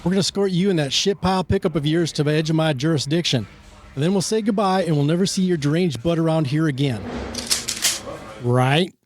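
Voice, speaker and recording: A man's voice: a little high, fairly quick, noisy background, lightly acted. A man playing a stern, threatening small-town sheriff and father in an amateur radio play.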